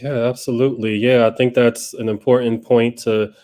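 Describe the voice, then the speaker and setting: A man co-hosting a panel, relaxed and gently inviting guests to share. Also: medium pitch, moderate pace. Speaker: co-host.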